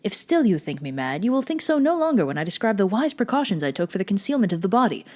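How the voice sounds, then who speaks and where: A woman's voice: mid-range voice, big pitch swings, quick pace, a little mellow, lightly acted. Woman acting an intense, mad Gothic horror narrator. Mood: smug, sly. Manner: theatrical, intense, precise old-fashioned phrasing. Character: nervous, manic murderer insisting on their sanity. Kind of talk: dramatic reading of a Gothic horror story.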